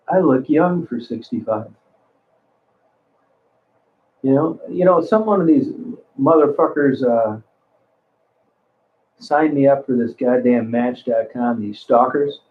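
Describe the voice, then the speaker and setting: A man in his sixties chatting casually on a livestream, rambling, cursing freely and often restarting his sentences. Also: mid-range voice. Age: 60s. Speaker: livestream host reading chat. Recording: phone-like narrow sound.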